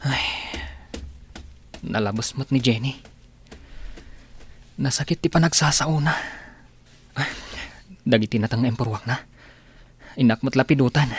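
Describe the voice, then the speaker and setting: A man's voice: medium pitch, measured pace, long pauses, lightly acted. A man playing a meek, lovesick young village man in a comedy radio play. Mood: pleading. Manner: meek, pleading.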